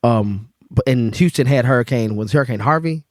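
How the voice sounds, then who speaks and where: A man's voice: medium pitch, fairly quick, no room sound, studio quality. A man, telling his life story in a loose, slangy podcast chat. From Memphis, Tennessee. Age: 30s. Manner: laid-back slangy storytelling with fillers. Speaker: guest bartender.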